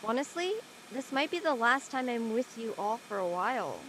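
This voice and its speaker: A young woman playing a practical seventeen-year-old high school girl in an audio play, casual and matter-of-fact. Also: animated, moderate pace, a bit bright, a little hiss or hum, poor audio. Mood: friendly, casual. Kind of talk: conversational acting in an online audio play.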